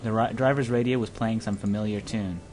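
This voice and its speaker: A man's voice: on the low side, steady tone, brisk. Man reading aloud over background noise. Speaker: amateur let's-play co-host. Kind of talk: reading visual novel narration aloud with joking asides. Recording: noisy home recording.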